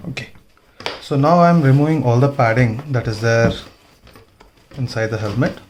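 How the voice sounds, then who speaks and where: A man's voice: medium pitch, moderate pace, a little hiss or hum. A man giving an informal hands-on tutorial, thinking aloud as he works. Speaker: motovlogger demonstrating gear installation. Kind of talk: casual hands-on tutorial narration.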